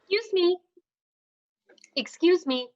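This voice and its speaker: A woman's voice: very high-pitched, expressive, slow, heavily acted. A woman voicing an earnest young woman who speaks politely and pleads. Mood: pleading. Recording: dull, lo-fi livestream audio.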